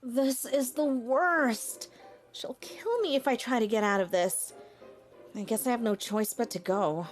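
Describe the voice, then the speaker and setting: A woman voicing a shy, self-deprecating teenage high school girl, narrating her own cartoon story with dramatic self-pity. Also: measured pace, soft background music, lightly acted. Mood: dreading. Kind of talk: anime-style story narration.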